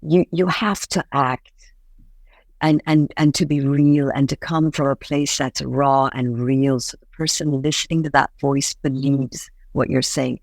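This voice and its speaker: A woman's voice: a bass voice, fairly even intonation, unhurried, no room sound. A woman born in Iran, an actress being interviewed, talking earnestly with many fillers and restarts. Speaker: voice actress guest. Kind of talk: interview answers.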